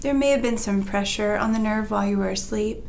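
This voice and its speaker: An actor playing a woman doctor who speaks to patients in a calm, reassuring, clinical way in a training dramatization. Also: medium pitch, fairly quick, creaky, slight background noise, lightly acted. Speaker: physician in a role-play.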